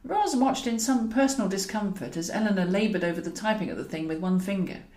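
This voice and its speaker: A British woman narrating a comic novel in a wry, expressive way, as a scripted audiobook reading. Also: medium pitch, fast talker. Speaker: author and narrator. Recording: roomy, echoing voice recording.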